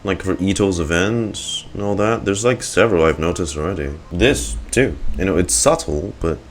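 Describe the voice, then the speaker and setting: A man's voice: sits low, moderate pace, a little hiss or hum. A man giving casual, offhand reaction commentary on a video game, swearing now and then. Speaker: gaming streamer reacting to a story quest. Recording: game music faintly behind. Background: video game music.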